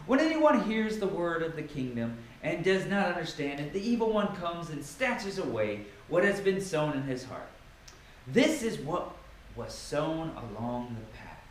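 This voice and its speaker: Very echoey recording, man, dramatic Bible reading, highly animated intonation, emphatic, theatrical pauses. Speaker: Bible reader. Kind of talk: dramatic scripture reading with informal asides. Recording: very echoey room with faint noise.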